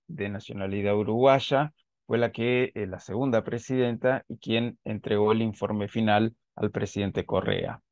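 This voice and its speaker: An Argentine man in his forties speaks through a headset on a video call, calm and measured, often pausing on fillers. The sound is dry and a little dull, with no room echo. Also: fairly low pitch. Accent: Argentine Spanish. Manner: measured, with frequent filler "este". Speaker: invited speaker, lawyer. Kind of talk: presentation at an online panel. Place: home office with bookshelves.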